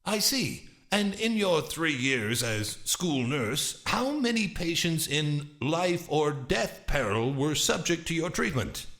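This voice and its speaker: Theatrical, creaky-voiced stage magician, acted by a man. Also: on the high side, big pitch swings, slow, hardly any pauses, a bit bright, full character voice. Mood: probing, courteous. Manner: formal, courteous, grandiose showman. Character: theatrical, menacing stage magician, master of shadows. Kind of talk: dramatized audiobook acting.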